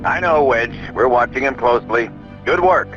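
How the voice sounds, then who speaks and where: Game briefing officer, voiced by a man: businesslike general, measured delivery, muffled sound over prominent music. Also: mid-range voice, lightly acted. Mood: grave, authoritative. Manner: formal, measured. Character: authoritative, businesslike male rebel general briefing his squadron. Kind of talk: scripted video game mission briefing.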